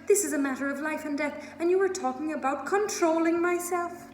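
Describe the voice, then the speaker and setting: Woman voicing an indignant little farm girl, high-pitched. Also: fairly quick, reverberant, big-room sound, a little hiss or hum, natural voice. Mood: pleading, indignant. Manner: pleading, emphatic, emotional.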